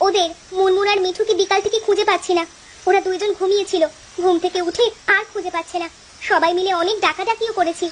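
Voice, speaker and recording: Cartoon village mother, played by a woman: very high-pitched, fast and emphatic, fed up, music behind. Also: fairly even intonation, slight background noise. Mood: concerned. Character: exasperated village housewife and mother. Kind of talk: animated children's story dialogue.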